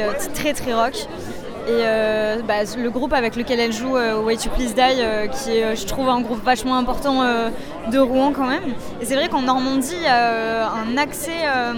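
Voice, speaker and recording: A woman's voice: on the high side, steady tone, normal speed. A young woman from the south of France, a musician and DJ, answering interview questions in a chatty, hesitant way with many fillers. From Sète, south of France. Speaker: musician and DJ, guest. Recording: noisy crowd in background.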